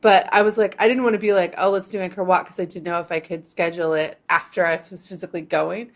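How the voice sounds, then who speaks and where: Woman podcast host, casual and chatty, slightly low-pitched voice, quick conversational delivery. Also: steady tone. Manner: chatty, quick, laughs between phrases.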